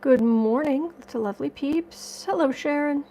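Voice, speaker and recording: Chatty woman, slightly raspy today. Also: sits high, a little slow, dark tone, slight background noise, low-quality recording. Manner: rambling, self-correcting, chatty with viewers. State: slightly raspy from dust allergies. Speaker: tarot and astrology livestream host. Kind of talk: casual livestream chat reading comments.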